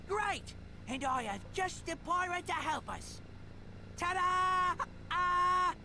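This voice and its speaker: High-pitched, jaunty robot pirate. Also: male voice, sharp tone, a little hiss or hum, full character voice. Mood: gleeful, boastful. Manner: theatrical, jaunty pirate talk. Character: boastful, scheming, goofy robot pirate first mate. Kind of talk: video game cutscene acting.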